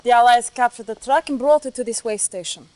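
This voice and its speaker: A woman's voice: fairly high pitch, fairly quick, a little mellow, dry recording, lightly acted. A woman playing an efficient Soviet military aide in a game cutscene, giving a formal, clipped briefing. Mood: businesslike. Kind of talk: dramatic game cutscene acting.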